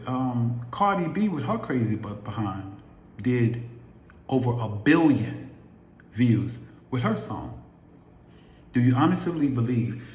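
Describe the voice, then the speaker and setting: Man, late fifties, mid pitch, emphatic motivational vlogger; recorded in a reverberant room with a faint hiss. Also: a little mellow. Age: 50s. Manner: emphatic, preachy, rhetorical questions. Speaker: affiliate marketer vlogger. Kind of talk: motivational talk to camera. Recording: echoey room.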